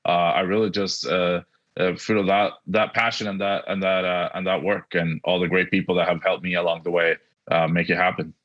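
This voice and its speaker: A middle-aged man from Argentina, a native Spanish speaker, giving earnest, halting interview answers over dull, lo-fi audio. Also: deep voice, flat delivery. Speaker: podcast guest, education technology executive.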